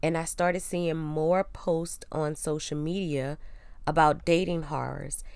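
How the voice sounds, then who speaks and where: A young woman with a low-pitched voice, nasal and congested from a cold, talks chattily and with self-assurance on her podcast. Under the stuffiness her tone stays smooth and clear. The sound is close and dry, with no room around it. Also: no echo. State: congested, getting over a cold. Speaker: podcast host. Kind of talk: casual solo podcast, reading her blog post.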